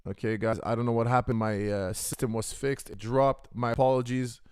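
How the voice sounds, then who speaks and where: A man hosting a casual livestream podcast through a deep robotic voice filter, chatting with viewers and calling them guys. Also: steady tone, normal speed, darkish tone, smooth, dry recording, studio quality. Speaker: podcast host. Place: Canada.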